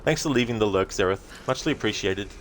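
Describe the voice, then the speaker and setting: A man's voice: fairly low pitch, animated, fast. A man giving casual gameplay commentary as a live streamer. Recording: loud game noise behind voice.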